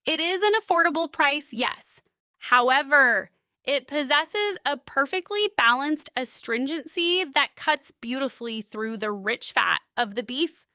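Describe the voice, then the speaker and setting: Woman as no-nonsense big sister in an animated story: high forceful voice, proud, firm, formal. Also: measured pace, no room sound, studio quality, heavily acted. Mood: firm, indignant. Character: blunt, proud older sister running a diner. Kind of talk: animated story character acting.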